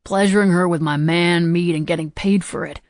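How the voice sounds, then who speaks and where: A woman's voice: fairly low pitch, fairly even intonation, normal speed, no room sound, clean studio sound, close to an everyday voice. A woman voicing a teasing, cocky young man with a slight Southern accent, in an audiobook. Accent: slight Southern US drawl.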